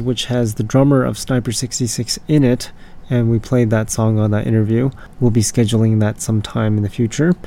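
A man hosting a punk rock music show, hoarse and raspy, rambling loosely and correcting himself as he introduces songs. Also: medium pitch, dark tone, a little hiss or hum. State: hoarse, voice not fully recovered from screaming. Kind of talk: casual radio-style song introductions.

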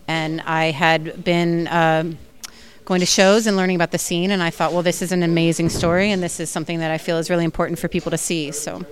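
A woman's voice: on the low side, little pitch movement, brisk. A woman film director answering interview questions in an earnest, enthusiastic way, with frequent fillers.

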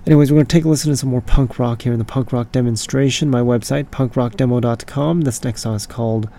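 A hoarse man with a raspy, gravelly voice hosts a punk rock music program, chatting casually between tracks. His tone is dark and mellow, and he wanders a little, taking back what he just said. A faint hum of noise sits under him. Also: mid-range voice, fairly even intonation, fast talker. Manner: loose and rambling, corrects himself mid-thought. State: hoarse, voice not fully recovered from screaming. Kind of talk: casual radio-style song introductions.

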